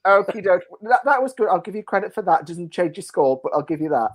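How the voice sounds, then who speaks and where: A woman hosting a panel game in a playful, excitable, teasing manner. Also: lowish voice, fast talker. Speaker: host of a panel show.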